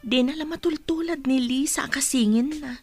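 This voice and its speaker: Woman as a worried mother, highish voice, admonishing tone, Ilocano accent, village comedy radio drama. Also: moderate pace, darkish tone, soft background music, close to an everyday voice. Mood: gently admonishing.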